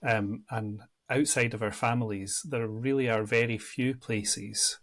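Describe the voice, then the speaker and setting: A Scottish man with an Edinburgh-area accent talks thoughtfully as a podcast guest. His voice sits at an ordinary middle pitch. He pauses often with ums and starts sentences over as he thinks. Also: fairly even intonation, a bit bright. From Scotland, near Edinburgh. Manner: thoughtful, with frequent ums and restarts. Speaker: guest, church ministry worker. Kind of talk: reflective podcast interview.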